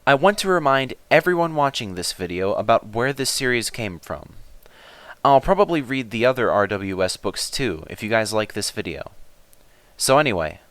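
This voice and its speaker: A man's voice: medium pitch, animated, normal speed, fairly bright tone. A man narrating in the manner of a children's storybook reader. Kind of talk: storybook narration and spoken video introduction.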